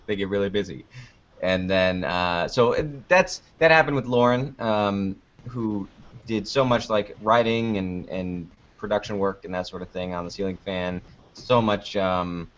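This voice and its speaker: Male podcast guest, lower register, thinks aloud conversationally, dull low-fidelity recording. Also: fairly bright tone. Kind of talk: casual interview chat. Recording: dull lo-fi online call audio.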